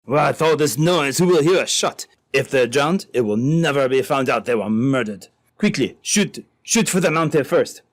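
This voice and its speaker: A ruthless French-speaking schemer from an old-time radio adventure, acted by a man. His pitch sits in the middle. He phrases things in a stilted, deliberate way as he plots coldly. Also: expressive, natural voice. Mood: urgent, commanding. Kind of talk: re-created old-time radio drama acting.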